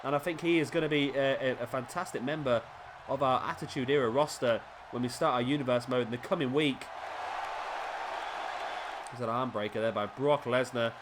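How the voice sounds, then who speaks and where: A man, sniffly with a cold, commentating a wrestling video game play by play and getting excited at big moves. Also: mid-range voice, fairly quick, background noise.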